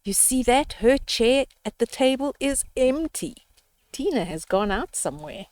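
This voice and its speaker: A woman's voice: sits high, animated, unhurried, a little mellow, dry recording. An older woman reading a children's story aloud, expressively, with explanatory asides and questions to young listeners.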